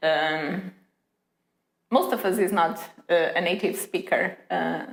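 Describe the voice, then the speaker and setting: A Portuguese woman speaking English as a guest in a casual interview, thoughtful, pausing often with fillers and light laughs. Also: low-pitched, fairly even intonation, gravelly. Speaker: head of design, guest. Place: small office. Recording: roomy echo.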